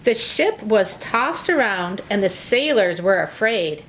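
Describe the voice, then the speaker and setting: A woman narrating a scripted story for children in a simple, clearly phrased read-aloud way. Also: medium pitch, animated, slow pace, a bit bright, slight background noise, low-quality recording. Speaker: narrator of a children's church puppet show.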